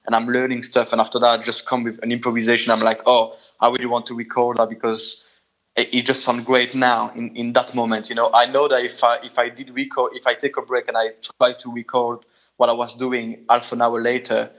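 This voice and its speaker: Bright-voiced Belgian man with a French accent. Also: medium pitch, monotone, dull audio. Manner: halting, many restarts, laughs often. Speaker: guitarist, guest. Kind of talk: casual interview chat.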